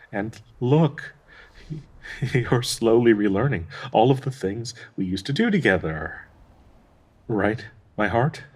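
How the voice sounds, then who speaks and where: Man acting a slow, husky, grieving dryad. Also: mid-range voice. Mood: desperate hope. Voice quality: husky, breathy. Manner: slow, halting, tender pleading with sighs. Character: grieving, devoted male dryad tending an undead lover. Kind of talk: intimate dramatic audio roleplay.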